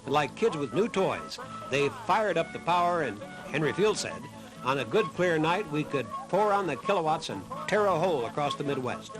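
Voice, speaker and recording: A man's voice: on the high side, very animated, moderate pace, low-quality recording. A man reading scripted narration as the narrator of a documentary. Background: music behind the voice.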